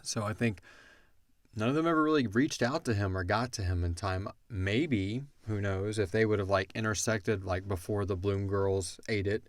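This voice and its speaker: A man hosts a casual question and answer session in a fairly low voice. He explains things in a loose, rambling, informal way. The sound is dry, with little room on it. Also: moderate pace, dry recording. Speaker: podcast host. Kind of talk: casual Q and A discussion.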